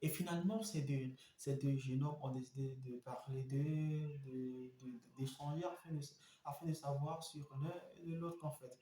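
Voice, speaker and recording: A young man telling a story in a casual vlog, animated and repetitive, speaking straight to his viewers. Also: mid-range voice, moderate pace, echoey room.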